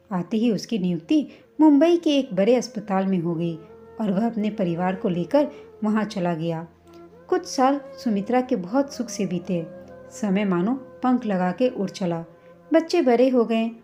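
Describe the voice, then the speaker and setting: A woman's voice: medium pitch, warm tone. A woman narrating a scripted family story as a storyteller. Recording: slightly dull, muffled recording. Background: background music.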